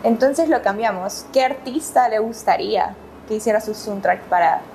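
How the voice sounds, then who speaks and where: A woman's voice: fairly high pitch, moderate pace, vocal fry, a little mellow, a little hiss or hum, poor audio. A woman interviewer asking prepared questions and giving short acknowledgements.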